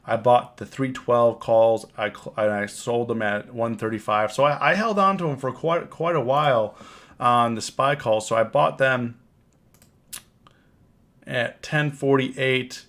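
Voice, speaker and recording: A man with a cold, hoarse and rambling, recapping his trades on a casual live stream and often repeating himself. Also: mid-range voice, measured pace, a bit bright. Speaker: options trading live streamer. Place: home, on camera.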